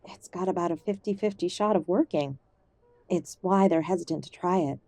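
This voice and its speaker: Give the part to an affectionate, ailing older mother played by a woman in an audio drama. Her voice is soft-edged and mellow, recorded close and dry. She fusses gently, old-fashioned and stubbornly hopeful. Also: lowish voice, normal speed, dry recording. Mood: measured, serious. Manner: gentle, fussing, uses endearments.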